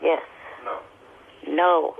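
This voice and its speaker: A man's voice: fairly high pitch, fairly even intonation, extremely slow, gravelly, a crowd in the background. A man on the telephone, answering in a curt, irritable, complaining way during a grudging casual conversation. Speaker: show regular phoning in. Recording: noisy thin telephone line.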